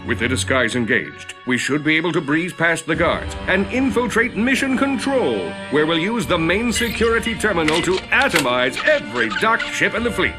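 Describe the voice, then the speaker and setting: An actor playing a pompous, vain, bumbling space adventurer in a video game cutscene, theatrical and self-important. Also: male voice, a little high, sing-song, moderate pace, few pauses, music in the background.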